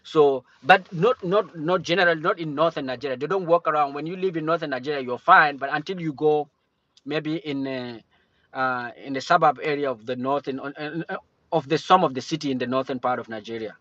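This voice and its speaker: A man from Cameroon hosts a relaxed livestream chat, stumbling and restarting his sentences. His voice sits a bit high for a man. The sound is dry and close, with a dull lo-fi tone. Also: no echo. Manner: halting, many restarts and fillers. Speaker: livestream host. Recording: dull lo-fi stream audio.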